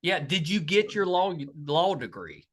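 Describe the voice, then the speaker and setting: Bright, lively-voiced male podcast host. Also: high-pitched, a little slow. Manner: upbeat, agreeable, short affirmations. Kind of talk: casual interview.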